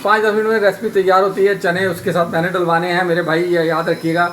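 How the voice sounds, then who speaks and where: Hoarse man chatting through a home cooking vlog. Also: high-pitched, little pitch movement, fast talker, a bit bright. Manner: chatty, addresses viewers as brother. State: hoarse, sore throat and fever. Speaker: vlogger. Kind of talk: casual cooking vlog narration. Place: home kitchen. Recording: noisy home video.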